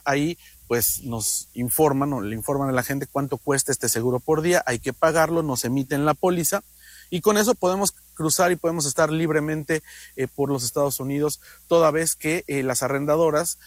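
A man's voice: medium pitch, no echo. A man with a Mexican accent talking conversationally as a radio host, explaining travel matters and repeating phrases for emphasis.

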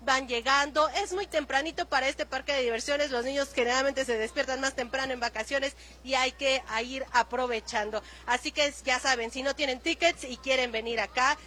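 High-voiced woman doing a live field report outside, peppy. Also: steady tone, fairly quick, hardly any pauses, pushed and bright. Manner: upbeat, promotional. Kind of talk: live on-location news report. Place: outdoor amusement park.

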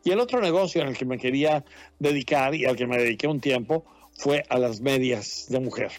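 A man in his late fifties from Mexico, a journalist talking about his past in a relaxed, rambling way with frequent laughs. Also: mid-range voice, brisk, dry recording, soft background music. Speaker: journalist, correspondent. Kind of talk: casual interview chat.

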